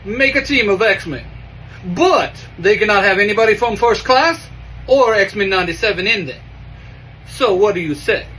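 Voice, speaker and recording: A man's voice: high-pitched, lively intonation, moderate pace, sharp tone, poor audio. A man voicing a taunting, theatrical superhero who issues challenges, recorded with faint background noise.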